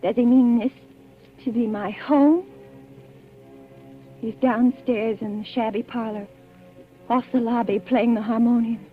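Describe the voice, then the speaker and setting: Woman acting a high-voiced, frightened genteel wife, old radio. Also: fairly even intonation, unhurried, long pauses, close to an everyday voice. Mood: weary dread. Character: frightened, pleading new wife, genteel and fragile. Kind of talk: 1940s radio suspense acting. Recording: tinny, band-limited old radio recording with music.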